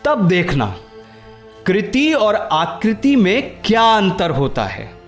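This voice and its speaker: A man's voice: sits high, huge pitch swings, takes it slowly, faint music behind, full character voice. An actor in a Hindi radio play playing a sly young man who goads and insists theatrically. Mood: insistent, persuading. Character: sly, goading young man exposing a rival's secret.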